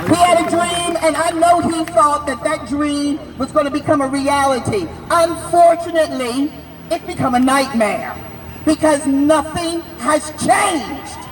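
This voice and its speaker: Slow, impassioned older woman. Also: high-pitched. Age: 60s. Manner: declamatory, drawn-out phrases with shouted emphasis. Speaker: rally speaker. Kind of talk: impassioned protest speech. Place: outdoor city rally. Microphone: unamplified, distant camera. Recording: distant, echoey outdoor recording with crowd. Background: crowd noise.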